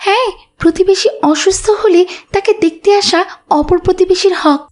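Cartoon casting: kind, forgiving small girl, voiced by a woman, very high and earnest. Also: normal speed, darkish tone. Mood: kind, reassuring. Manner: polite, earnest. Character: kind, devout little schoolgirl, forgiving and polite. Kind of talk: Islamic cartoon dub acting.

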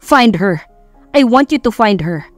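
A woman's voice: fairly high pitch, lively intonation, unhurried, no room sound. Audiobook role: proud, easily baffled young male boss, Filipino accent, curt orders read by a woman, music underneath. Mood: angry. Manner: curt, commanding, switches languages.

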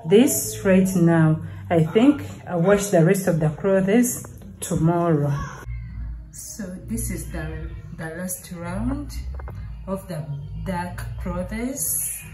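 A woman with a Ugandan English accent, chatting to viewers in a slow, easy way as she does the laundry at home. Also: lowish voice, mellow, rough recording. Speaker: vlogger doing laundry. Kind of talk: casual narration while doing chores. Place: tiled laundry room and outdoor alley at home. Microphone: phone camera.

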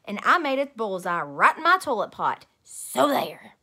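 Woman putting on a loud, indignant little girl. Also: a little high, sing-song, a little slow, husky, exaggerated voice. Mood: defiant. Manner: childish grammar, indignant. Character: grouchy, defiant kindergarten girl.

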